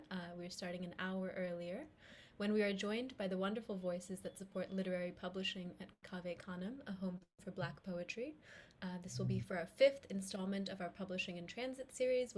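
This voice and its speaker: A woman's voice: medium pitch, darkish tone. Female online event host, mid-range voice, earnest and gracious announcements, faint noise on the line. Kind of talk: closing announcements, semi-scripted. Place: video call.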